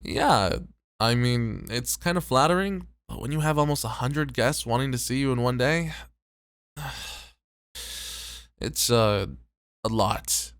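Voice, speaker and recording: A man playing a tired, popular host and teasing flirt in an ASMR roleplay, with relaxed banter. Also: medium pitch, measured pace, pauses often, clean tone, no room sound, clean studio sound. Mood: weary, hesitant.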